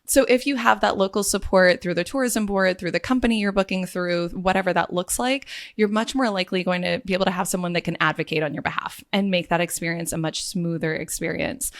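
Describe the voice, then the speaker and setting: A woman's voice: on the low side, fairly quick, few pauses. A woman who owns a luxury travel agency, a podcast guest chatting upbeat and telling client stories. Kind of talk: podcast interview.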